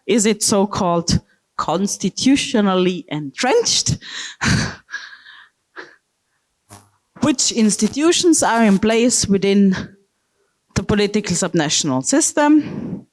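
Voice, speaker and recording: A woman from South Tyrol giving a public lecture with slides, speaking slowly with frequent ums and check-in okays. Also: medium pitch, very animated, low-quality recording. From South Tyrol, Italy.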